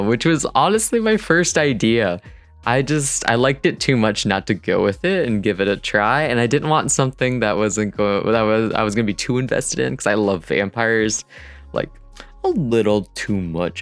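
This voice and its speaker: A man's voice: on the low side, a bit bright, clean tone, no room sound. A man giving a casual, rambling voice-over as an indie developer vlogger, thinking aloud in an informal way. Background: music under the voice.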